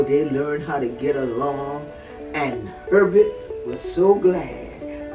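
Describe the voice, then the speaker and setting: An older woman telling a story in an animated, dramatic way, repeating words and phrases as she goes. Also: fairly low pitch. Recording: roomy echo, distant mic, music behind.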